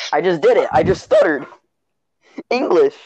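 A man co-hosting a casual podcast over online voice chat while gaming, joking and talking in quick rambling bursts. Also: on the high side, very animated, a little mellow, husky, highs cut off. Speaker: podcast co-host.

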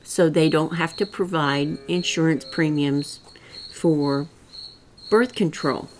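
A woman's voice: low-pitched, takes it slowly, a little hiss or hum. An older woman with a rural Missouri accent, hosting her own podcast in a folksy, rambling, earnest monologue. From rural Southwest Missouri. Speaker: podcast host. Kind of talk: solo political commentary.

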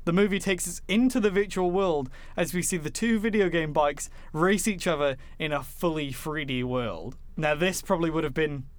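A man with a slightly high voice co-hosts a film podcast. He talks casually and jokes around, swearing freely as he goes through films. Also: normal speed, hardly any pauses, dry recording. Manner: jokey, sweary. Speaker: podcast co-host. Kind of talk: casual film podcast banter with synopsis read-out.

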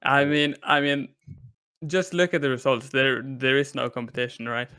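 A man's voice: medium pitch, steady tone, normal speed, sharp tone, clear, resonant tone. A confident, boastful man from Norway chatting casually as an esports team manager on a panel. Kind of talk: casual chat.

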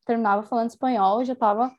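A woman's voice: a little high, steady tone, racing, dull audio. A young woman talking casually as an interviewee, chatty and enthusiastic, with many small fillers. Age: young adult. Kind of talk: casual conversation.

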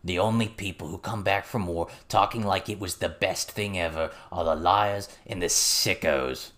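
A man's voice: low voice, normal speed, a bit bright. A man voicing a crude, boisterous old male goblin who tells stories in a blustering, mocking way.

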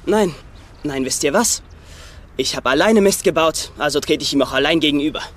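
Defensive young gambler, higher-pitched. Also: male voice, fairly quick, a bit bright. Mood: resigned. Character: defensive, sullen young male runaway gambler. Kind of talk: German dubbed series dialogue. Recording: music behind the voice.

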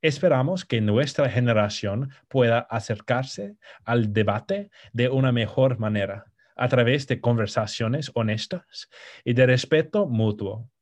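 A man in his twenties with an American accent, co-hosting a podcast in Spanish as a second language, pausing often with fillers and restarts. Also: mid-range voice, animated. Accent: American English. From United States. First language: English. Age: 20s. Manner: hesitant, many fillers and restarts. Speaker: podcast co-host. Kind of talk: casual podcast introduction.

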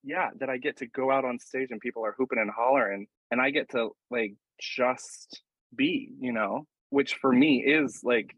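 Man guest, drag performer; husky, hesitant, thinks aloud; dry, dull lo-fi sound with faint radio behind. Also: medium pitch, a bit bright, dry recording. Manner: halting, reflective, quick to laugh. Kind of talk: casual interview chat. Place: indoors while getting into drag.

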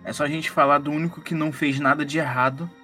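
A man's voice: fairly high pitch, flat delivery, fast talker, sharp tone, soft background music. A man playing a skeptical, analytical psychologist detective in a horror roleplaying game, talking casually with a Brazilian accent. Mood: wary. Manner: casual, reasoning.

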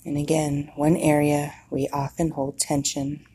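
Woman, meditation guide, low-pitched, breathy and husky, very unhurried, soothing, long pauses. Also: little pitch movement, warm tone, low-quality recording.

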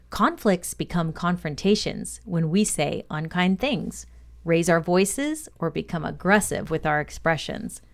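A woman with a fairly low voice reads a scripted self-improvement lesson. She works as a podcast co-host, delivering written points about leadership.

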